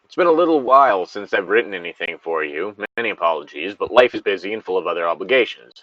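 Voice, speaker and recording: A man with a lowish voice that swings up and down animatedly, recorded dry on a slightly muffled mic. He co-hosts a reading show, drifting from the story into sardonic, sweary, rambling asides. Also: expressive, fast talker, a bit bright, no room sound. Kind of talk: casual chat and commentary mixed with reading a story aloud.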